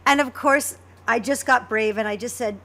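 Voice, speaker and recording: A woman's voice: a little high, moderate pace, pushed and bright. A woman hosting a podcast in casual chat, effusive, often repeating and restarting her phrases. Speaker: podcast host, co-creator and voice actress.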